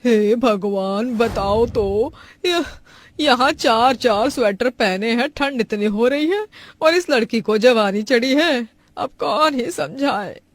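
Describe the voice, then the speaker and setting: Woman acting disapproving Indian mother-in-law, higher-pitched, complaining, theatrical exclamations, cartoon drama. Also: slow, no room sound. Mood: grumbling. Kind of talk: Hindi cartoon family drama dubbing.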